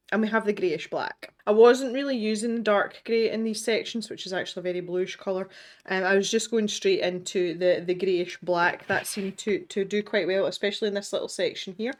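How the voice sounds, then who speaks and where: A woman host, congested from a cold, chatting casually while she colours. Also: medium pitch. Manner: chatty, self-deprecating, thinks aloud. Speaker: art channel host. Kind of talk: casual colouring tutorial chat.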